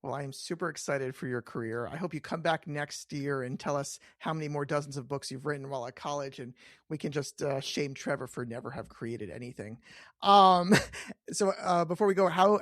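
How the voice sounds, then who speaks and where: A man's voice: fairly high pitch, moderate pace, fairly bright tone, dry recording, studio quality. A man hosting a podcast, chatting casually with a guest in an informal interview style. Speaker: podcast host.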